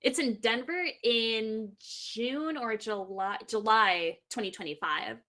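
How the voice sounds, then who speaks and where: A woman's voice: a little high, takes it slowly, sharp tone. A young woman chatting casually and upbeat as a guest on a podcast. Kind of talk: casual chat.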